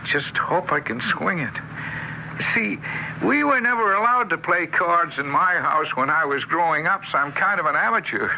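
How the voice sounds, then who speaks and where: A man's voice: on the high side, animated, normal speed, gravelly, sharp tone, full character voice, rough recording. An actor playing a timid, bumbling, apologetic male ghost, hesitant and stammering, with an American accent in vintage radio drama style. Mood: eager, unsure. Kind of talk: mid-century American radio drama acting. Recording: hissy old radio play recording.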